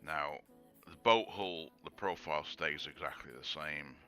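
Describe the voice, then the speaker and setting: A man with a croaky sore throat, thinking aloud through a casual 3D modelling tutorial with lots of ums. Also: extremely low pitch, unhurried, sharp tone, faint music behind. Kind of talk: casual screen-recorded tutorial.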